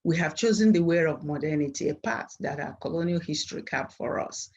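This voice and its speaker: A middle-aged woman with a Nigerian accent and Igbo as her mother tongue reads a formal academic presentation in a measured, earnest way. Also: sits low, darkish tone, slightly rough, lo-fi sound. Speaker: university professor presenting at a conference. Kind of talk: formal scripted academic lecture.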